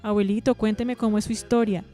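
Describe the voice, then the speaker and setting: Woman as affectionate Colombian granddaughter, soft dark tone, expressive and sympathetic, radio play with faint music. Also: mid-range voice, fast talker, close to an everyday voice. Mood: moved, tender. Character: attentive, affectionate granddaughter, moved by her grandparents' stories. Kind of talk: radio drama dialogue.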